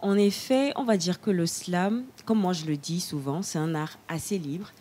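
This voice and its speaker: A young woman, a slam poet, explaining her show in a measured way in a TV studio interview. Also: on the low side, warm tone. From Togo. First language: Lugba.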